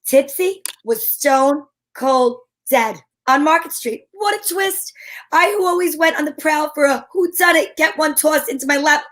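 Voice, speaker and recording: A woman's voice: sits high, unhurried, fairly bright tone. A woman playing a wisecracking, confident female private eye who narrates her case in a wry old-time radio style. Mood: dry, storytelling.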